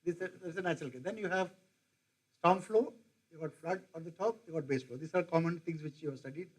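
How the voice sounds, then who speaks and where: A man's voice: fairly high pitch, fast talker, slightly rough, rough recording. An older man with an Indian English accent giving a rambling lecture to teachers, often asking his audience questions. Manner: digressive, asks the audience questions. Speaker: professor. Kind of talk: lecture to faculty.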